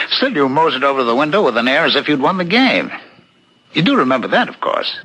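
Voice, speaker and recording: Reasonable husband in old radio mystery, raspy sharp voice, really animated, picks at details. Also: male voice, on the high side, brisk, exaggerated voice, rough recording. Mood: quietly probing. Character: reasonable, probing husband, loyal friend of a suspect. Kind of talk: 1970s radio mystery drama acting.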